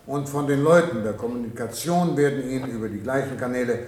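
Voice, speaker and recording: A formal, authoritative man, played by an actor, as a bureaucratic project director in a 1970s radio play. He lectures in measured, smoothly evasive phrases, with a roomy echo around his voice. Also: medium pitch, darkish tone, lightly acted. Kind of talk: 1970s German radio drama acting, formal address.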